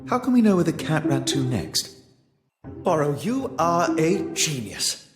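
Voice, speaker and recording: A man playing an earnest, kindly, polite gentleman who assists a detective in a mystery game. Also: fairly high pitch, very animated, a little slow, soft background music. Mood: eager. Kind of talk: scripted game dialogue.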